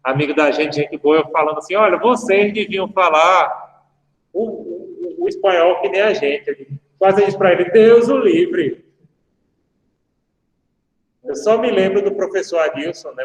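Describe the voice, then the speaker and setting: A man's voice: fairly high pitch, normal speed. A man, a law professor giving a casual online lecture, chatty and full of anecdotes and asides to his students. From Brazil. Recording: echoey room with long reverb.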